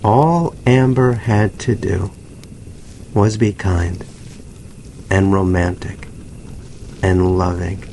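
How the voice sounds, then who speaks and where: A man narrating a scripted dramatic story with deliberate, theatrical phrasing and pauses. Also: deep voice, gravelly, darkish tone, slight background noise, rough recording.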